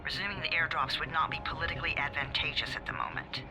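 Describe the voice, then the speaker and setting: Cold, condescending handler played by a man, high-pitched. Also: fairly even intonation, quick pace, music in the background, lightly acted. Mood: coldly dismissive. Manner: formal, sardonic. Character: cold, condescending male handler of a covert organisation. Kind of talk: video game acting.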